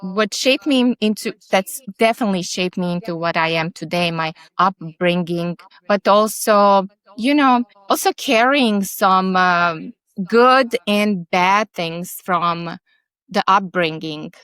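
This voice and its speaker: Slow-speaking woman in her forties. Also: mid-range voice, a bit bright, clean tone, no echo, low-quality recording. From Serbia. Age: 40s. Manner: halting, searching for words with restarts. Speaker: podcast guest. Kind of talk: interview conversation.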